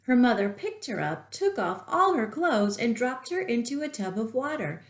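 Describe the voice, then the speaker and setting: A woman reading a storybook aloud to young children, expressive and deliberate, with asides to the listeners. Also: fairly high pitch. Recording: echoey room.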